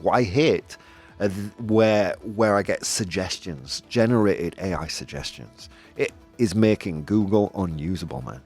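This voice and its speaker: A man hosts a casual opinion show and talks in a rambling, self-correcting way with plenty of fillers. His pitch swings widely as he gets worked up. Faint music plays underneath. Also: a little mellow. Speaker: podcast host. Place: Spain.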